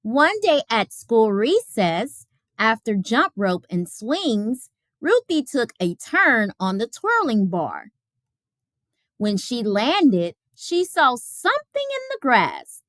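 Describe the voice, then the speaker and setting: A woman reading a children's picture book aloud as a storyteller, with expressive, careful phrasing. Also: fairly high pitch, slow pace, dry recording, clean studio sound.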